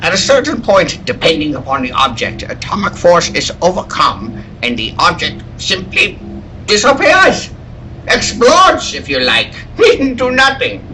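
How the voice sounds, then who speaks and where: A man playing an excitable scientist and inventor in a dramatic, emphatic old-time radio style. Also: sits high, unhurried, slight background noise, exaggerated voice, rough recording. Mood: boastful. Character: excitable male scientist, inventor of a deadly machine.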